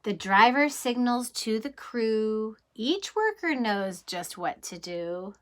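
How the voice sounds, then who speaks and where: A kindergarten teacher, a woman, reading a picture book aloud to young children, gently and expressively, pausing to ask them questions. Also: a little high, lively intonation.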